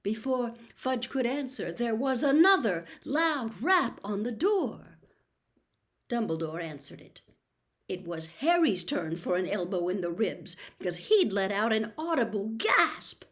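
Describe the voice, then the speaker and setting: A woman reading a children's novel aloud as an expressive storybook narrator. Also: fairly high pitch, measured pace. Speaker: storybook reader.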